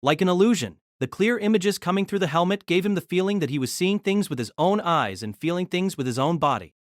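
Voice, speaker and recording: A man narrating an audio drama in scripted storytelling style, expressive and engaged. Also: fairly quick, no room sound. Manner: expressive storytelling with lively pitch.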